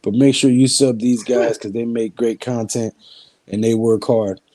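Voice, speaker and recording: A man's voice: mid-range voice, fairly even intonation, normal speed, warm tone, no room sound. A man co-hosting a casual sports livestream, talking bluntly and joking crudely with friends. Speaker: livestream co-host. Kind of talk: casual sports stream chat.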